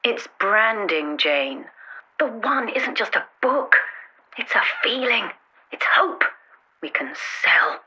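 A woman's voice: medium pitch, sing-song, slow pace, sharp tone, highs cut off, slight background noise, exaggerated voice. A woman playing a pushy, upbeat branding promoter in a comedy audio drama. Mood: eager, selling.

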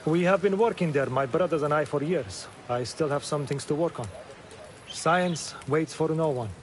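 An actor plays a dutiful male scholar in a game drama. His voice is slightly high, friendly and sincere, with courteous phrasing and faint outdoor ambience behind it. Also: normal speed, slight background noise, lightly acted. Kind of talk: dramatic video game acting.

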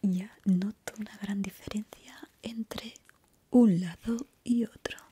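A young adult woman with an accent from Spain whispers a soothing, unhurried ASMR barber roleplay in a breathy, reassuring way, close to the microphone. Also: mid-range voice, fairly even intonation, mellow, a little hiss or hum. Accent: Spanish, from Spain.

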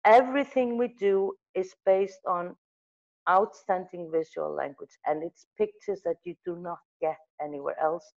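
Animated Icelandic woman on a video call. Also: on the low side, a little slow, highs cut off. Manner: animated storyteller, gestures, restarts and self-corrections. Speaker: magazine editor, guest. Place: room with wall of photos. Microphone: earbud headset mic on video call.

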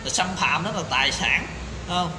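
A man's voice: a little high, fairly quick. Indignant man ranting in echoey room. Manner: indignant, rhetorical questions, many fillers. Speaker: commentator. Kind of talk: opinion monologue to camera. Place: small tiled room indoors. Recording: echoey tiled room with background noise.

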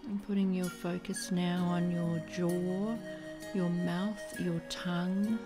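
A woman leading a guided body scan meditation in a soothing, gentle way, leaving pauses between phrases. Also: on the low side, level tone. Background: music.

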